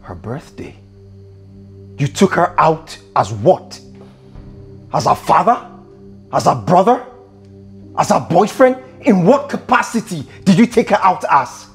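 An actor with a Nigerian accent playing a stern, possessive boss who is quick to anger, in a naturalistic screen drama. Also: male voice, mid-range voice, huge pitch swings, breathy edge, faint music behind, full character voice. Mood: suspicious.

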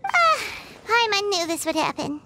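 Tiny excitable fairy sidekick from a game, super high swoopy voice, music behind. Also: female voice, unhurried, very noisy, heavily acted. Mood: whiny doubt. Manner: refers to herself in third person, whiny, exclaiming. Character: small floating fairy companion girl, excitable, self-important, childlike. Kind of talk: video game voice acting.

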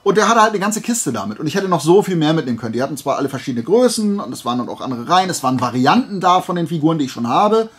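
A man's voice: a little high, very animated, racing, hardly any pauses, fairly bright tone, husky. A man from Germany chatting casually in a haul vlog as a collector, in a rambling, hesitant way, often correcting himself. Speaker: YouTube collector showing flea market finds.

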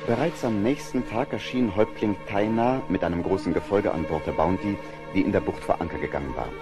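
Deep-voiced guy narrating an adventure radio play, music under him. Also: fairly quick. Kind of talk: scripted audio drama narration.

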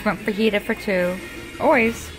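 A woman in her fifties with an American accent, chatting casually into a handheld phone camera, folksy and quick to laugh. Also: medium pitch, music in the background. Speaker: vlogger. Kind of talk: casual vlog chat. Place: inside a vehicle.

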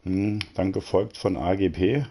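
A man's voice: low voice, steady tone, normal speed, warm tone. A man commentating a live sim racing broadcast, chatty and joking as he reads out standings and greets viewers. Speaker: race commentator.

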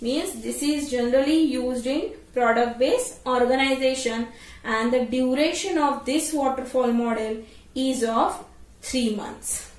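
A woman's voice: high voice, unhurried. A woman explains step by step like a teacher, repeating phrases and checking in with short tags. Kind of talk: explanatory tutorial lecture. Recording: roomy echo, distant microphone.

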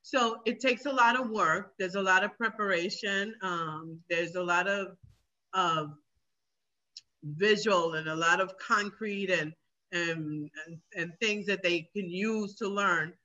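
Bright-voiced woman teacher on a muffled call. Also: fairly low pitch, slow. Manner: earnest, repeats words for emphasis. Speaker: middle school ESOL teacher. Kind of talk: interview answer, explanatory.

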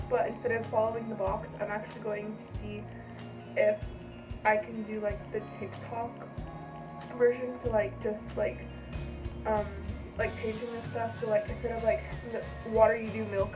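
A woman's voice: fairly high pitch, low-quality recording. A young woman talking casually to the camera while baking in her home kitchen, rambling and chatty with lots of fillers. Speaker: vlogger. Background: background music.

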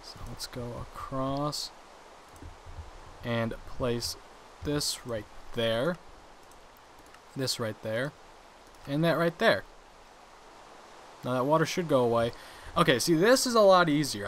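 A young man casually commenting on his own gameplay, chatty and quick to laugh at his mistakes. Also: mid-range voice, a bit bright, slight background noise. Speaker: gaming channel host.

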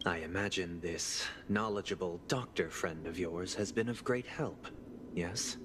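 An actor playing a composed, haughty young clan leader in a video game, formal, measured and coldly polite, with music behind him. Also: male voice, sits low, noisy background. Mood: cold, courteous. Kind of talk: video game dialogue acting. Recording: clean studio voice over game music. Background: game background music.

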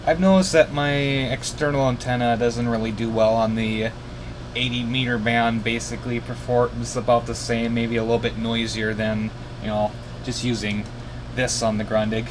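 A man's voice: medium pitch, moderate pace. A tired man giving a casual, hesitant, rambling hands-on demonstration as a radio hobbyist, thinking aloud in a small room. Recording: noisy room, steady background hiss.

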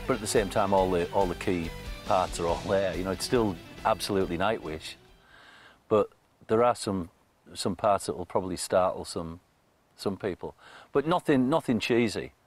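A man's voice: sits low, normal speed. A man, bluntly and eagerly describing a new album in a documentary interview. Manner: enthusiastic, blunt, swears casually. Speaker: band member. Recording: music playing underneath.

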